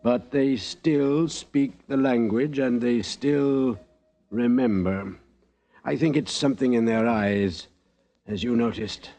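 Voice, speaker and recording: Solemn, mellow-voiced scholarly archaeologist. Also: male voice, mid-range voice. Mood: guarded, formal. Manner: formal, deliberate, portentous. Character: solemn, mysterious scholarly male archaeologist, formal and secretive. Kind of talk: old-time radio drama acting. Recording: vintage radio recording, music behind.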